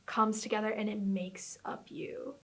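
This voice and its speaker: A woman's voice: mid-range voice, level tone, unhurried, breathy edge. A young woman college student speaking earnestly in a documentary interview. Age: young adult.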